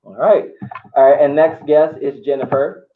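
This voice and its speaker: A man's voice: on the high side, steady tone, moderate pace. A man hosting a group discussion podcast in an informal way, often repeating his phrases. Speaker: podcast host. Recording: thin phone-like audio.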